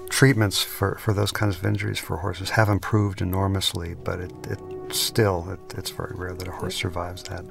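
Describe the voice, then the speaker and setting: Very deep gravelly man on commentary. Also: level tone, music in the background. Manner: brief, measured observations. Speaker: co-commentator, film collaborator. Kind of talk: film commentary track.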